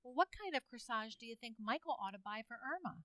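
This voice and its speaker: Woman playing a bright, coy teenage girl. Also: a little high, expressive, fast, no room sound, clean studio sound. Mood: gushing, coy. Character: Gladys, sweet, coy teenage girlfriend. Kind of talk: old-time radio comedy acting.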